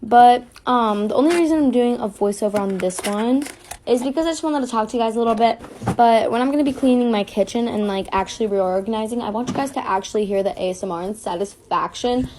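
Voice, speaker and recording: A young woman chats casually in an unscripted voice-over. Her voice is mellow and a bit high, and she rambles, often breaking off her own sentences. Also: quick pace, a little hiss or hum. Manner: rambling, self-interrupting. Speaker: cleaning video creator.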